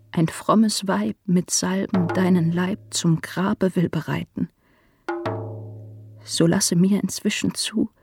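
A young woman playing a devout mourner, reciting lamenting verse breathily in a radio drama. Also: fairly low pitch. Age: young adult.

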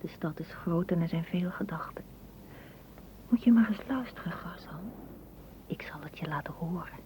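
An actress in a radio play playing a dreamy, tender woman gardener, speaking softly and poetically, often in a whisper. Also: lowish voice, normal speed, lightly acted. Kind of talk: radio drama acting. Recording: muffled, noisy old radio recording.

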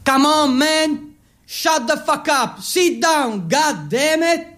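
A man's voice: very high-pitched, lively intonation, drawn-out words, a bit bright, voice pushed up, full character voice. A man voicing an impatient, insistent holiday host in a theatrical monologue. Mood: angry shouting. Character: loud, impatient American holiday hosts. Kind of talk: theatrical quoted dialogue.

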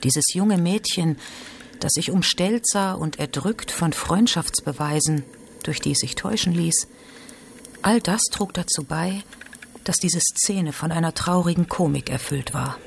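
An actor playing a reflective literary narrator in a radio drama, reading scripted commentary. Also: male voice, on the high side, measured pace, vocal fry, slight background noise.

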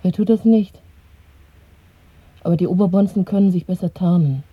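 Cheeky young woman with her secret lover, radio drama, really husky and intimate, dry tinny recording. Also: fairly low pitch, moderate pace, dry recording. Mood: teasing, flippant. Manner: breathy, intimate, flippant. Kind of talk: German radio play acting.

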